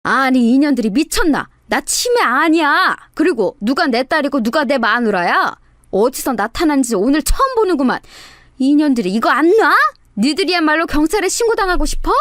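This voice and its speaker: A woman's voice: high-pitched, sing-song, moderate pace, few pauses, dark tone, no echo, clean studio sound. A woman voicing an indignant, crude old male swindler who blusters and sputters in a dramatized story. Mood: furious.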